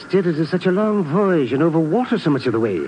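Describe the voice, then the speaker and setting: An actor playing a fretful, fussy professor in a 1950s radio drama. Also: male voice, a little high, big pitch swings, quick pace, darkish tone. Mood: anxious. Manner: fussy, anxious, hesitant. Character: fretful, fussy foreign male professor, nervous flier. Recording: noisy 1950s radio recording.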